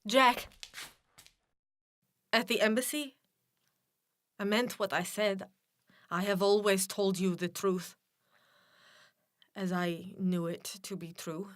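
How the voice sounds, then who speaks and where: A woman's voice: medium pitch, steady tone, slow, long pauses, dry recording, natural voice. An actress playing a blunt, wry Soviet-born female official with a Russian accent in a radio drama. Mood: quiet, earnest. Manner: blunt, dry, clipped formal phrasing.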